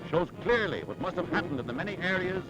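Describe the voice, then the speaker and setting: A man's voice: sits high, sing-song, fast, low-quality recording. An American man reading a scripted 1940s newsreel narration in a dramatic, urgent, emphatic manner. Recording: vintage 1940s newsreel soundtrack with music. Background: loud dramatic music.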